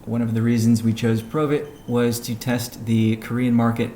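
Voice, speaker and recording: A man giving a measured, businesslike update on a conference call, pausing between phrases as he reads out points and answers questions. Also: mid-range voice, completely flat delivery, dark tone. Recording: noisy conference call audio.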